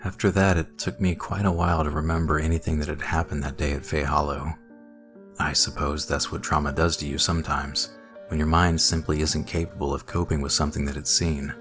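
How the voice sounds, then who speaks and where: A man narrating a scripted first-person horror story in a measured, dramatic way. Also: very deep voice, little pitch movement, a bit bright. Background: music.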